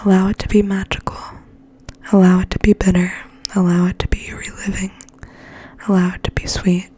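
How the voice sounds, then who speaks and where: A woman whispering in a gentle, breathy, slow ASMR style, as if reading poetry aloud and sharing quiet thoughts. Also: mid-range voice, level tone. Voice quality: breathy, mostly unvoiced whisper. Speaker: ASMR host and poetry reader.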